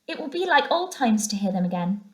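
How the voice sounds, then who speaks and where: A woman's voice: medium pitch, sing-song, reverberant, close to an everyday voice. A woman voicing an eager, homely and sentimental male mole who is easily flustered, in a children's storybook. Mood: proud, nostalgic. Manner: proud and nostalgic, quick to fret.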